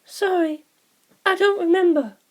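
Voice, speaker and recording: A woman acts out a young female spirit for a storybook, pitched very high. The delivery is light and a little hesitant, like a forgetful girl answering questions. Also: unhurried. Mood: hesitant, apologetic. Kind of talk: audiobook character dialogue.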